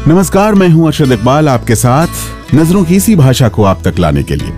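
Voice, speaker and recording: A man's voice: on the high side, sing-song, normal speed, a little mellow. A man hosting a radio show, reading a poetic scripted introduction addressed directly to listeners. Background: plucked guitar music.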